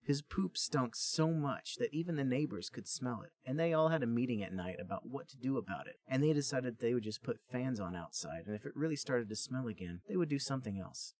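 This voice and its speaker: Animated man reading a comic story aloud. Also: mid-range voice, brisk, hardly any pauses. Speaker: podcast co-host and reader.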